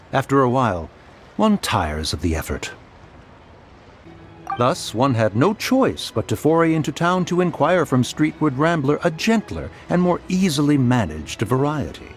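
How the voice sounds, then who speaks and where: An actor playing a dignified ancient spirit in human form in a video game, speaking in formal, archaic phrasing. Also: male voice, medium pitch, slight background noise. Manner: formal, archaic, refers to self as one. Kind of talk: English video game dub acting. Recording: voice over background music.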